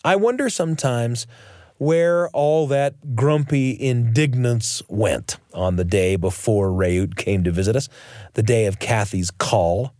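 A man hosting a radio show, telling stories in a reflective, scripted narration with deliberate phrasing. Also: mid-range voice, lively intonation, warm tone, dry recording, studio quality. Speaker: radio show host and storyteller. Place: radio studio.

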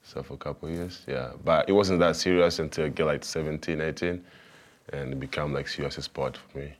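A young man from Tanzania with a Tanzanian accent, a basketball player answering interview questions in a soft, halting way. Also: very deep voice, steady tone. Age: young adult. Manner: soft-spoken, halting, simple phrasing with fillers. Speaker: college basketball player guest.

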